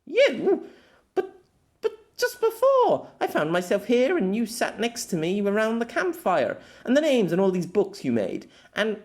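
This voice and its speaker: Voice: mid-range voice, huge pitch swings, measured pace, a little mellow, voice pushed up. A narrator voicing a spirited, imperious young warrior noblewoman with formal, old-fashioned and emphatic speech in an amateur audiobook. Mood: startled. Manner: formal archaic phrasing, emphatic. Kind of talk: amateur audiobook character acting.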